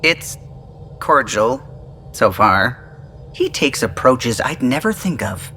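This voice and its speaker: A man's voice: medium pitch, animated, takes it slowly, pushed and bright, slight background noise, exaggerated voice. A man playing a proud, fussy starship engineer in a video game, precise and keen on technical detail. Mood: enthusiastic, fussy. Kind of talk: video game dialogue acting.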